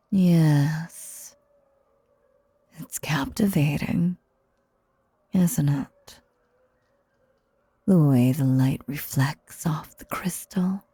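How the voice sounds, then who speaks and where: A sinister, possessive magician acted by a woman speaks in a low, creaky, velvety-dark voice. The delivery is theatrical and coaxing, sweetly menacing, often sinking into breathy whispers for an intimate roleplay audio. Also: dry recording, exaggerated voice. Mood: hypnotic, coaxing. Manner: theatrical, coaxing, drawn-out phrasing with dramatic pauses.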